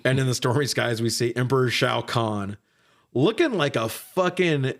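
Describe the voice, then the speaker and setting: A man hosting a casual film review podcast, recapping in an animated, profane way with frequent laughter. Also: medium pitch, moderate pace. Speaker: podcast host reviewing films. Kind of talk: casual recap and banter between friends.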